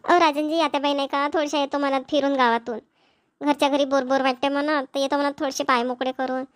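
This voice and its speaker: A woman's voice: sits high, steady tone, fast, lightly acted. A woman playing a chatty, agreeable village wife and mother in a cartoon, with a rural Maharashtrian accent. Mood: caring, chatty. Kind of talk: cartoon family dialogue.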